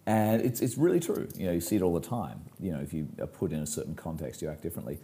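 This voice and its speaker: Very deep, mellow-voiced man chatting. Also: lively intonation, quick pace. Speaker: magician, podcast co-host. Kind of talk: casual conversational storytelling.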